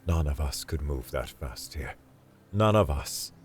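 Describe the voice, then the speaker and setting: A man voicing a fearful alien student in an audio story, speaking in a hushed, halting, shaken way. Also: a bass voice, lively intonation, soft background music, pitched below the performer's own voice. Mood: shocked, hushed.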